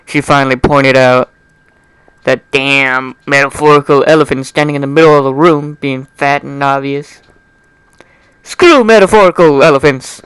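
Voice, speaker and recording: A man's voice: medium pitch, normal speed, fairly bright tone, clean tone, no room sound, low-quality recording. A man doing an informal dramatic story reading with casual asides, stumbling over words and correcting himself. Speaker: amateur fan fiction reader.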